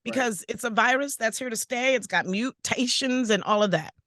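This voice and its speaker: Raspy female co-host, animated and exclaiming, a touch high, dry close sound. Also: moderate pace, no echo. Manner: animated, exclaiming, self-interrupting. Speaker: podcast co-host. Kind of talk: casual podcast chat.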